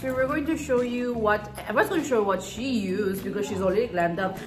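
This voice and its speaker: A woman's voice: medium pitch, a little fast. A young woman with an Indian accent chats casually and enthusiastically as she co-hosts a skincare demonstration. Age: young adult. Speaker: beauty vlog co-host. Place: small indoor room, pink-walled studio. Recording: loud music behind. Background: music.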